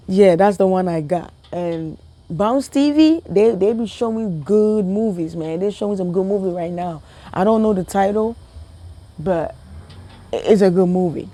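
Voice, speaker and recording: A young woman from Ghana telling a funny personal story to her viewers in a casual, animated way, often repeating herself and laughing. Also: medium pitch, dry recording. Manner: animated, repetitive, laughing, talks to viewers as family. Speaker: vlogger.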